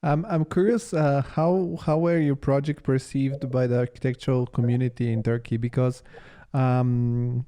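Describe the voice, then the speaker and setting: A male interviewer with a soft-edged, mellow voice at a middling pitch. He grew up in Bulgaria and Italy. His questions come casually and haltingly, as if he is working them out aloud. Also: little pitch movement. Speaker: podcast host, interviewer.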